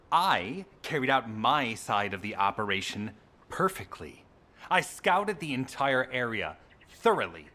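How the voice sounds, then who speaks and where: Casting: cocky young game hero, prickly show-off, forceful tone, swooping intonation. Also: male voice, mid-range voice, exaggerated voice. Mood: irritated, defiant. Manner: brash, showy. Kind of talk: video game voice acting.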